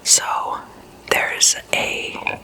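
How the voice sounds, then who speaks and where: An ASMR host whispering casually in a breathy, intimate way, softly lingering over words as if telling stories to a friend. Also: very slow.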